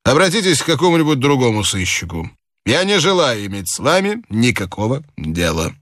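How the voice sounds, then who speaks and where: A man's voice: mid-range voice, sing-song, brisk, a bit bright, no room sound, clean studio sound, voice pushed up. A man voicing a firm detective, condescending and emphatic, in an audiobook. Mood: curt, exasperated. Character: male detective, firm, exasperated, condescendingly patient with clients.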